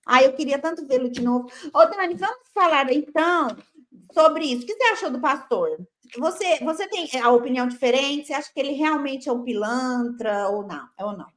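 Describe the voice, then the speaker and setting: Female co-host, high pitch, talks straight to the audience with playful exclamations. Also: lively intonation, brisk. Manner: chatty, playful, exclamatory, addresses viewers directly. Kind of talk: casual chat. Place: lives in Italy.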